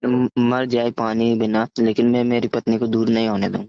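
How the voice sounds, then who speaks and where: A man casually telling a story over the phone, halting and often stammering, repeating syllables and words. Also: mid-range voice, flat delivery, warm tone. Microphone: phone call. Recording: muffled, echoey phone call recording.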